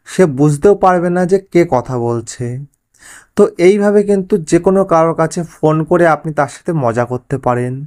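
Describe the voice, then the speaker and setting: Fast-talking man hosting a tech tutorial. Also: fairly high pitch, darkish tone. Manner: instructional, addresses viewers directly. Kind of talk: spoken step-by-step app tutorial.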